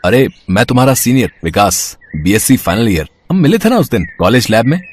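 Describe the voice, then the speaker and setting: A man's voice: medium pitch, big pitch swings, fairly quick, slightly rough. A man playing a rich, casual college senior who coaxes and flirts in a story cartoon. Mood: friendly, coaxing. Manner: casual, persuasive. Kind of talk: Hindi moral story cartoon acting.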